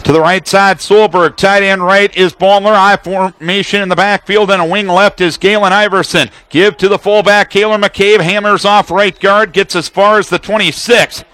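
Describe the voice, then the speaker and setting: A man's voice: high-pitched, steady tone, sharp tone. A man doing live radio play-by-play of a football game, calling the action in clipped, detailed phrases. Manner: rapid-fire situational detail in clipped phrases.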